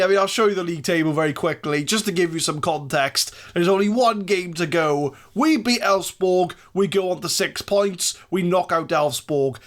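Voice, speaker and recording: A man's voice: sits high, fairly bright tone. A man giving casual, jokey, self-mocking commentary over a football management game. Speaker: gaming YouTuber. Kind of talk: casual Let's Play commentary.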